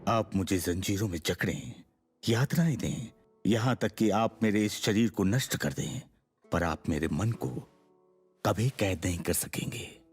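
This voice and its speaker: Husky defiant captive ruler, game cutscene, talks really fast, music behind. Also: male voice, on the low side. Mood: calm defiance. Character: defiant captive male leader, unbroken in spirit. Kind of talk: video game cutscene acting.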